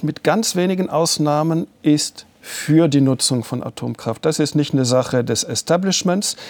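An older man whose native language is French, giving measured, deliberate answers as an interview guest and slowing down to stress his points. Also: on the high side, darkish tone, no echo. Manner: measured, deliberate, slows down for emphasis.

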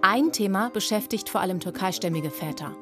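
A woman reading scripted documentary narration in a clear, even voice-over. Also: mid-range voice, a little fast. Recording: voice-over with music behind.

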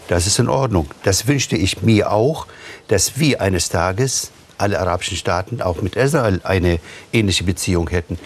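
Older guy on a talk panel, husky and lowish, slow and halting. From West Bank. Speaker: guest. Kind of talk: panel discussion.

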